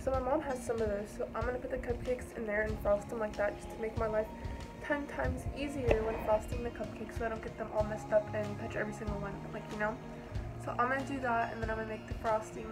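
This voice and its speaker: A young woman vlogs from her home kitchen, chatting loosely to the camera while she bakes. Her voice sits slightly high and her talk rambles, full of fillers. Clear background music plays behind her. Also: steady tone, quick pace. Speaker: vlogger. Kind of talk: casual baking vlog.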